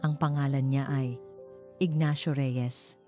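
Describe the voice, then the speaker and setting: A woman's voice: extremely low pitch, steady tone, takes it slowly, warm tone. A woman playing a grave, shaken elderly male retired teacher in a dramatic story. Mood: grave recounting. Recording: music behind the voice.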